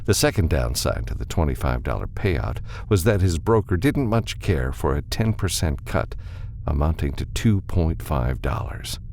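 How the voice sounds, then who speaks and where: A man narrating fiction as an audiobook reader, with a gravelly voice and a dramatic delivery. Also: very deep voice, very animated, normal speed, a little mellow, slight background noise.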